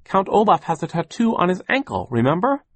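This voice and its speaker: Man as a kindly, pleading, very animated timid partner. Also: sits high, a little fast, dry recording, pitched above the performer's own voice, exaggerated voice. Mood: urging. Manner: pleading, deferential. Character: kindly, distressed, timid male business partner.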